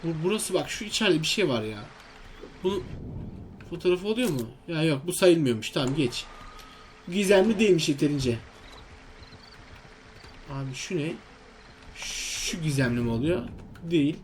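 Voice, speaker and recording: Male gaming host, high-pitched voice, casual and exclamatory play-by-play, faint noise behind him. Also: lively intonation, moderate pace, pauses often. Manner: exclamatory, talks to himself and to viewers. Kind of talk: casual video game commentary.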